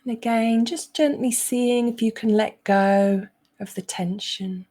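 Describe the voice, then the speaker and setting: A woman leading a guided meditation as a coach, speaking gently with frequent pauses as she gives instructions. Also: a little high, fairly even intonation.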